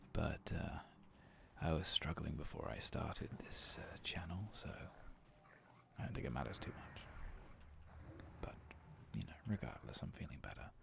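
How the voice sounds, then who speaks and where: A man with a hoarse, coarse voice, talking softly and half-whispering as he plays a game in a relaxed, rambling way. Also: extremely low pitch, unhurried, long pauses. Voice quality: coarse, gravelly voice. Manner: soft, half-whispered, rambling and self-deprecating. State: hoarse after a long work shift. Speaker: gaming ASMR host. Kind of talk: soft-spoken ASMR gameplay commentary.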